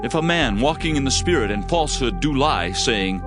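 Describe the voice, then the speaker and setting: Male scripture narrator, solemn, oratorical, highly animated intonation, dramatized reading, music behind. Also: normal speed. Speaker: audio Bible narrator.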